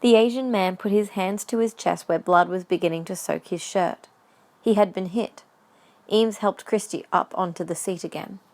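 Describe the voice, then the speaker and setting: A woman's voice: mid-range voice, moderate pace, darkish tone. A woman reading scripted story narration for an audio drama.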